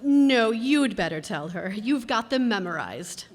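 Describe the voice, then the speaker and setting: A woman's voice: a little high, sing-song, a little slow. A woman playing a practical, wry wife who chivvies her husband in a radio comedy re-creation. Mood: wry, chivvying. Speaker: radio player. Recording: reverberant hall, live stage performance.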